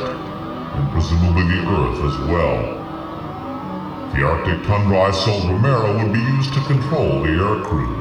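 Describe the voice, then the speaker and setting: An actor playing a haunted, guilt-ridden conspirator, solemnly and deliberately telling his downfall as the narrator of a radio play. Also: deep voice. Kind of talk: dramatic first-person radio play narration. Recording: roomy, distant voice under loud music. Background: loud music.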